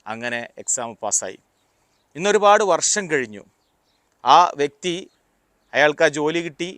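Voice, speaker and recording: A man giving a motivational talk, explaining deliberately in short, paused phrases. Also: fairly high pitch, sing-song, fairly bright tone, no echo, studio quality. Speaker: motivational speaker. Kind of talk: motivational talk with personal anecdotes.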